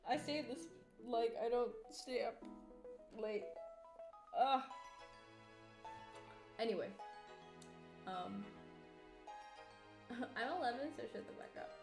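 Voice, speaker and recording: A woman's voice: on the high side, slow pace, creaky, pauses often, roomy sound, music in the background. Tired young woman rambling on stream. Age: 20s. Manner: rambling, swears casually, self-mocking jokes. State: tired, yawning. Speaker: artist livestreamer. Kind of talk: casual chat while drawing.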